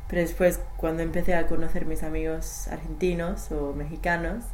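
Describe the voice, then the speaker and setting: A young woman whose first language is English, answering interview questions in halting speech with many fillers and restarts. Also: low voice, flat delivery, a little mellow. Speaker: language study participant. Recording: echoey room, faint noise.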